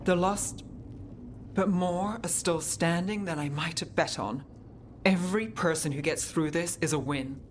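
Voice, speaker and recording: A woman's voice: on the low side, measured pace, slight background noise, close to an everyday voice. A woman voicing a cool, resolute female mage in a video game, guarded and matter-of-fact with a dry wit. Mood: guarded, determined. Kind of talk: video game character acting.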